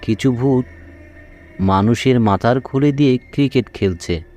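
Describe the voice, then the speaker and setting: A man's voice: mid-range voice, unhurried, dark tone, highs cut off, faint music behind. Man narrating a cartoon folk tale. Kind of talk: scripted children's cartoon story narration.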